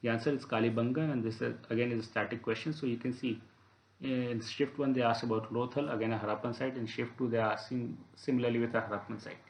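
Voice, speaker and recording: Male exam tutor, mid-pitched, methodical walkthrough delivery, noticeably roomy sound. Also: steady tone, fast. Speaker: exam preparation instructor. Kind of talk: explanatory exam paper walkthrough. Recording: echoey room.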